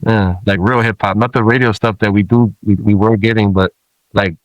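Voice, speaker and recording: Low-voiced man telling stories, dry sound. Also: normal speed, no echo, dull audio. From Samoa. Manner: relaxed storytelling with many fillers. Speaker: rapper guest. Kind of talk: casual interview talk.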